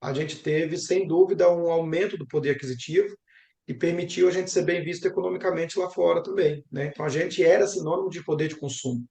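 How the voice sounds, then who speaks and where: A man's voice: a little high, fairly even intonation, a little fast, creaky, warm tone. A young Brazilian man speaking conversationally as an interviewed professor, talkative and reflective, often adding little tag questions. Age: young adult. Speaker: geography professor interviewed about travel. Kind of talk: conversational interview answers, storytelling. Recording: roomy, echoing sound.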